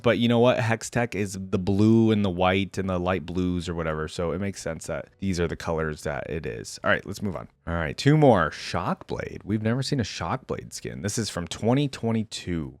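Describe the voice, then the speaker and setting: A man's voice: low-pitched, no room sound, clean studio sound. A man hosting a reaction video, commenting casually and off the cuff in a conversational way, thinking aloud as he goes.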